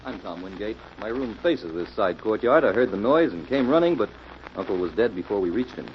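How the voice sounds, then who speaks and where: A subdued male doctor, played by an actor in an old radio mystery. His low voice swings up and down in pitch. The recording is dull and noisy. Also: animated, a little fast, lightly acted. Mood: subdued, explaining. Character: subdued male doctor, nephew of a rich captain. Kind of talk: 1940s radio mystery acting.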